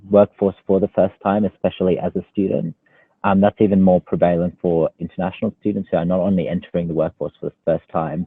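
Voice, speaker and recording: A man's voice: low voice, breathy edge, no echo. A man speaking informally as a student union panelist, explaining things in a hesitant way. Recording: phone-like narrow call audio.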